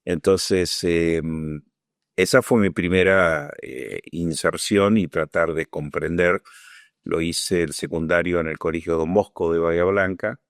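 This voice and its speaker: Older Argentine man, very low voice, dry close sound, reflective pace for interview testimony. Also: steady tone, no echo. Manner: measured, reflective, searching for words. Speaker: Falklands War veteran interviewee.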